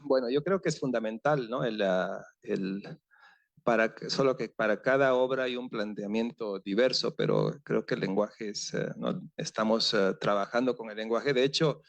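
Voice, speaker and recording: A man's voice: on the low side, normal speed, creaky, no echo, lo-fi sound. A novelist on a seminar panel, a man thinking aloud with many fillers and restarts. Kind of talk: panel discussion.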